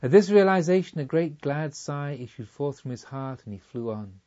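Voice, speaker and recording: A man narrating a literary audiobook in a measured, expressive storybook manner. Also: on the high side, lo-fi sound.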